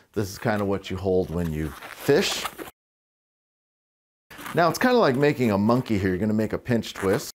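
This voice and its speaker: A middle-aged man with a fairly low voice talks through a balloon-twisting demonstration in his living room. His delivery is relaxed and chatty, full of small fillers and dry little asides. The sound is close and clean from a lapel mic. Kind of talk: casual tutorial demonstration. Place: living room with fireplace, indoors.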